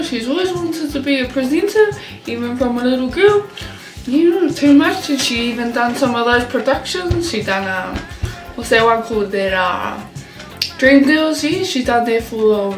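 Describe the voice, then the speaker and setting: A woman acting a folksy, proud middle-aged New Zealand mother in a comedy sketch, slangy and rambling, in an echoey room. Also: sits high.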